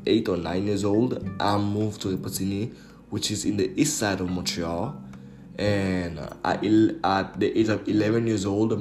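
A young man from Haiti hosting a podcast, telling a story in a loose, casual way with lots of fillers and swearing. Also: fairly low pitch. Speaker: podcast host. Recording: echoey room with faint guitar music.

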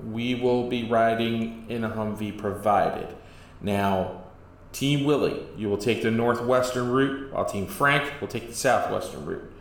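A man's voice: fairly low pitch, measured pace, vocal fry, a little hiss or hum. A man voicing an exasperated male federal agent who gives clipped, commanding orders. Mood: brisk. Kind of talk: comedy audio drama acting. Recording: echoey, roomy recording.

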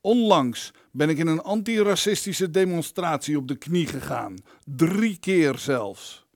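An actor in a radio play voicing an indignant, self-important politician locked in a dungeon, with a pompous, self-justifying manner. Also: male voice, fairly high pitch, lively intonation, measured pace, a little mellow, dry recording, clean studio sound. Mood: rueful, self-justifying. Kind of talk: Dutch radio play acting.

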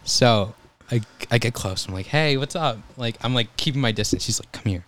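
A young man telling EMT stories in a relaxed, calm voice during a casual chat. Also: mid-range voice, fairly quick. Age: young adult. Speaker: guest, EMT volunteer.

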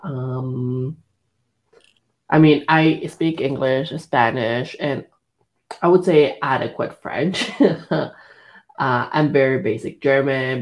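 A young man co-hosting a podcast, chatting casually and hesitantly with many fillers. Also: medium pitch. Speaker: podcast co-host. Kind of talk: casual chat.

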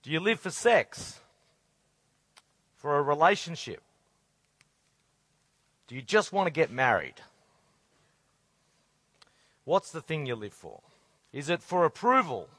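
A middle-aged man with a hoarse, croaky voice, preaching a sermon with earnest rhetorical questions. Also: on the high side, sing-song, takes it slowly, pauses often, fairly bright tone, no echo. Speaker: conference speaker.

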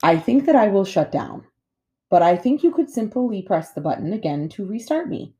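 A woman voicing a gentle robot mother in a storybook, speaking politely and precisely in formal phrases. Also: mid-range voice, big pitch swings, moderate pace, voice pushed up, natural voice. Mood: calm.

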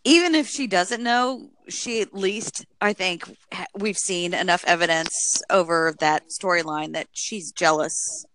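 A woman co-hosting a casual chat podcast who laughs loudly and often and talks with animated energy. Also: fairly low pitch, unhurried, hardly any pauses, poor audio. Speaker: podcast co-host.

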